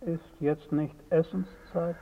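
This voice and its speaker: Casting: dead former slave. Lightly high, very slow and musing, radio play, cavernous reverb. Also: male voice, fairly even intonation. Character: wistful shade of a former slave. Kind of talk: theatrical radio drama. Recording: tinny phone-like sound, long reverb.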